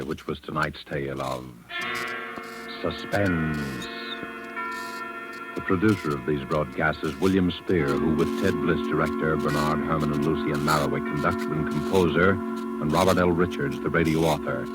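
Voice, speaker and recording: Spooky super deep 40s radio narrator, grave and suave, music playing behind him. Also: male voice. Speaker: host and narrator of a suspense show. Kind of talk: 1940s radio scripted narration. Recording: vintage 1940s radio recording.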